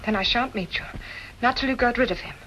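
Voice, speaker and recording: A woman's voice: on the high side, quick pace, fairly bright tone, close to an everyday voice, rough recording. An actress playing a sly female crook who poses as a shop girl in an old detective drama. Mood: sly, conspiring. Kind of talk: old-time detective film acting. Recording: old soundtrack with background noise.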